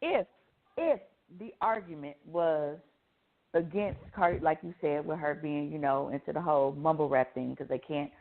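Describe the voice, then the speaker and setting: A woman's voice: low voice, moderate pace. An American woman giving blunt, profane opinions in slangy, casual panel chat. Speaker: radio show co-host. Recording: thin phone-like band-limited audio.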